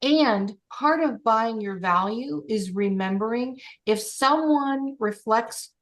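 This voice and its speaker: A woman's voice: on the high side, expressive, slow pace. A woman coach leading a group call, speaking in an encouraging, emphatic way.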